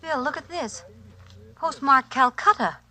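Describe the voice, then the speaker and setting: A woman's voice: high-pitched, big pitch swings, a little slow, pushed and bright, exaggerated voice. A woman acting in a 1940s radio drama as an anxious, placating wife with a hesitant, apologetic manner. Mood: uneasy, placating.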